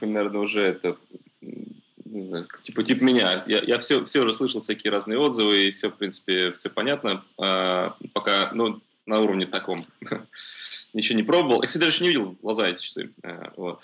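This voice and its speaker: A man's voice: fairly low pitch, brisk, a bit bright. A man co-hosting a casual tech podcast, asking questions with stammers and restarts. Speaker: podcast co-host.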